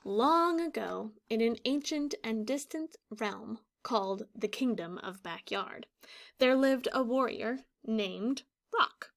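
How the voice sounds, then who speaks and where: Higher-voiced woman teaching through a read-aloud. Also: creaky. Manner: explanatory, deliberate enunciation. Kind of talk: instructional children's read-aloud. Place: small room indoors, classroom or office decor.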